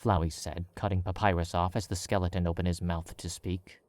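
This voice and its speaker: A man narrating a fan fiction as an audiobook, in a measured, wry storytelling manner. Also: low-pitched, little pitch movement, a little mellow, dry recording.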